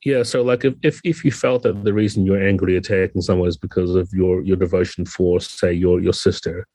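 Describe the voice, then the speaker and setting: A man with a deep voice talks through his ideas in a conversational interview. His delivery is level, with little melodic movement, and the recording is close and dry. He explains thoughtfully, qualifying points as he goes. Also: steady tone, brisk, mellow, dry recording. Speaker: game designer, podcast guest. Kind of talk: conversational interview answers, explaining game rules.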